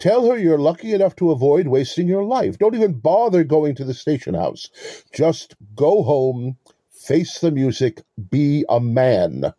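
Smug, pompous male swindler for an audio play, played by a man: very dark, husky tone, swooping intonation, wordy mock courtesy. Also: on the high side, big pitch swings, measured pace, no echo, clean studio sound. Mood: scornful, commanding. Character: smug, verbose male con artist posing as police lieutenant. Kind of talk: two-person audio play acting.

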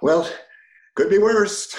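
A man's voice: high voice, takes it slowly, echoey room. An actor playing an earnest, polite older single man who stammers and protests, flustered, in an audio romantic comedy. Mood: baffled, placating.

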